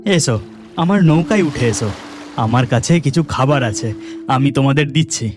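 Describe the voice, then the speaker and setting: A man's voice: medium pitch, expressive, moderate pace, a little mellow, faint music behind, natural voice. A man voicing a humble, kindly village boatman in a cartoon, friendly and reassuring in manner.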